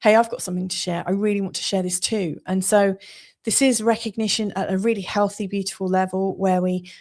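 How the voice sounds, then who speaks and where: A woman's voice: mid-range voice, fairly even intonation, fairly quick, darkish tone. A woman from England with an English accent, giving an astrology forecast in an earnest, chatty monologue with frequent laughs and fillers.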